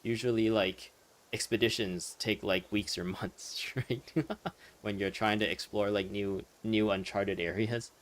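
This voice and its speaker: A man's voice: lowish voice, steady tone, unhurried. A man giving casual, rambling game commentary in a wry, amused manner. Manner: wry, amused, chuckles while musing. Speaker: let's play streamer commenting on a game. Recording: game music playing behind. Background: video game music and dialogue.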